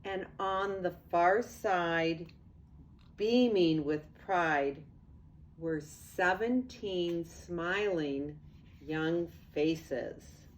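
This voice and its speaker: Slow-paced older woman reading a storybook. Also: fairly low pitch, slight background noise. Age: 60s or older. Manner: expressive, unhurried storytelling to young children. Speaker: grandmother reading a picture book to children. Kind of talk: storybook read-aloud with casual asides.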